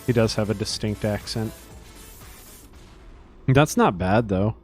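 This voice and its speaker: A man from Louisiana hosting a casual gaming stream, laid-back and quick to laugh. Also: on the low side, soft background music. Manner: laid-back, laughs often, reads chat aloud. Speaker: stream host.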